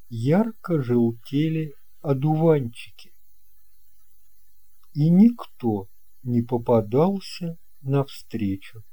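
Male audiobook narrator, very dark mellow tone, slow pace, expressive storytelling. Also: mid-range voice, husky.

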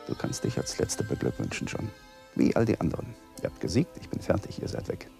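A young lawyer who is struggling and on edge in a radio play. His intonation leaps widely. He comes across as excitable and embittered, with clipped, defensive phrasing. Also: sits low, a little fast, vocal fry, over music, heavily acted. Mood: bitter, desperate. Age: young adult. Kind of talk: radio drama acting.

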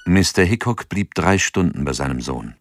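An actor as a sober chronicler, narrating a documentary radio drama from a script. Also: male voice, low voice, animated, moderate pace, dry recording, studio quality. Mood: sober, factual. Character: sober male chronicler of a crime case.

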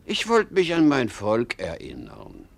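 Zerstreuter, pompöser König mit stark schwankender Stimme. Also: male voice, mid-range voice, huge pitch swings, vocal fry, full character voice. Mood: pompous, halting. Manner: halting, pompous, muddled, swooping from mutter to shout. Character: confused, absent-minded, pompous king. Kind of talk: theatrical German radio play acting.

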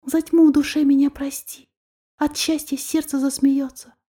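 A woman's voice: sits high, little pitch movement, moderate pace, warm tone, no room sound, studio quality, natural voice. A woman voicing a tender, urgent spirit mother who pleads in dramatic verse, in an audio fan-fiction reading. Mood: tender pleading.

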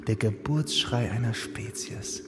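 An actor playing a lonely, brooding young man in a radio play, speaking slowly and often in a hush. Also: medium pitch, lightly acted. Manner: slow, brooding monologue, often hushed or whispered. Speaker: Kuno, lead in a radio play. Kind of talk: radio drama acting.

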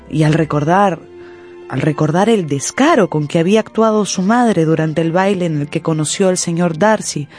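Casting: female narrator for a period radio novel, expressive literary delivery over background music. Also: sits low.

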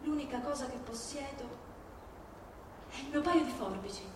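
A woman playing a tender young woman reading out her farewell letter in an intimate, measured stage monologue. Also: sits high, lightly acted. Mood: tender, wistful. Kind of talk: theatrical monologue in a reading-concert. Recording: very echoey, distant, noisy live recording.

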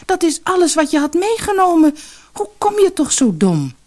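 A woman's voice: very high voice, sing-song, normal speed, a little mellow, voice pushed up. A woman voicing a worried, reproachful, long-suffering mother in a fairy tale, sighing and exasperated. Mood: shocked, scolding.

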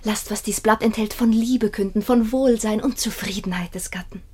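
An actress playing a loving, eager young princess, imploring and breathless, in a classical radio drama. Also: female voice, medium pitch, normal speed, a little mellow, slight background noise, close to an everyday voice.

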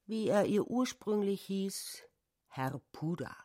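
Bedächtige Hörspielerzählerin. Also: female voice, mid-range voice, lively intonation, slow pace, warm tone. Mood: deliberate. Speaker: narrator. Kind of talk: scripted literary radio drama reading.

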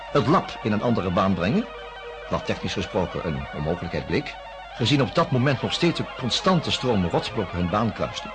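An actor playing a probing male investigator who narrates a radio drama with measured, formal phrasing. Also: medium pitch. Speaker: narrator and interviewer.

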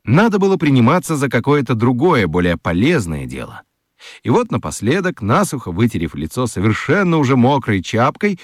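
A man narrating a children's radio play as an expressive storyteller. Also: mid-range voice, no echo, studio quality.

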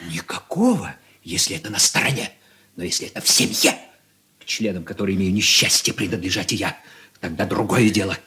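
Sly, lilting schemer. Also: male voice, deep voice, lively intonation, brisk, sharp tone, exaggerated voice. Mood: sly menace. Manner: mock-courteous, insinuating. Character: sly, insinuating male schemer, oily and mocking. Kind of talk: Soviet radio play acting.

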